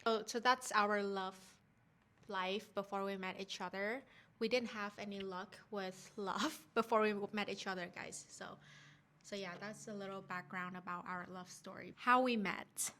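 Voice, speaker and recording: A young woman telling a story time on her channel, at an ordinary pitch for a woman. She is chatty and upbeat and keeps turning to her viewers as she talks. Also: steady tone, normal speed, pushed and bright. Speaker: vlog host, wife. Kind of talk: casual story time chat.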